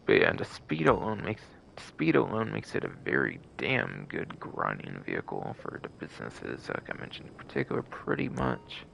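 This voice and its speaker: Guy streaming games, super animated pitch swings, heavy vocal fry, rambles and says like a lot. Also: moderate pace, few pauses, slight background noise, low-quality recording. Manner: rambling, repetitive, filler-heavy with frequent "like". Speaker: game streamer. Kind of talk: casual live game stream commentary.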